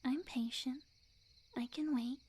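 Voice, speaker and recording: A woman playing a sweet, obsessive witch girlfriend in an ASMR roleplay, speaking softly and breathily in a coy, unhurried, often whispered voice. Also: high voice, fairly even intonation, breathy edge, heavily acted. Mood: smug, patient.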